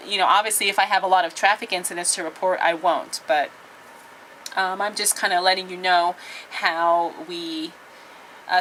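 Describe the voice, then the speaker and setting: A young woman who works as a radio traffic reporter, chatting casually in an easygoing, halting way with lots of ums and uhs inside a radio station. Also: medium pitch, pushed and bright, low-quality recording. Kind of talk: casual vlog chat with an on-air traffic read. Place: radio station office and studios, indoors.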